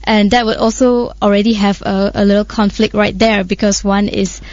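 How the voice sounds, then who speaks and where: A woman's voice: mid-range voice, flat delivery, moderate pace, darkish tone, no room sound. A woman co-hosting a radio current affairs discussion, explaining her points with many hesitations and fillers. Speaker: radio show co-host. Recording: dry, slightly muffled studio audio.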